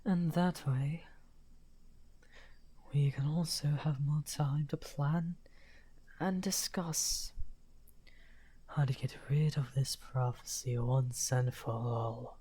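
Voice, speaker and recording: A man playing a lonely, misunderstood evil wizard in an ASMR roleplay, speaking softly and courteously in a breathy, whispery, halting voice. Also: fairly high pitch. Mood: hopeful, coaxing. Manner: slow, halting, musing.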